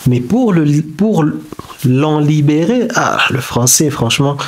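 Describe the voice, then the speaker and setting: Guy talking slow and thoughtful about self-growth, french isn't his first language, keeps restarting. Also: fairly high pitch, expressive. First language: not French. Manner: halting, searching for words with many restarts. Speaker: self-development video creator. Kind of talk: reflective motivational talk. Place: indoors beside a whiteboard diagram.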